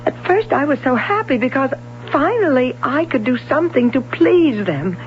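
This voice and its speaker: A woman's voice: high-pitched, animated, moderate pace. An actress playing an anxious young woman, a former swimming prodigy, earnest and hesitant, in a 1970s radio drama. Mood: uneasy. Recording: dull old radio broadcast, faint hum.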